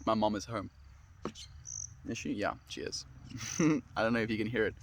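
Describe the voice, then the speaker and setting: A man in his twenties with a South African accent, chatting casually and fast with viewers on a livestream. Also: on the low side, a little hiss or hum. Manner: fast-talking, friendly, reads and answers comments.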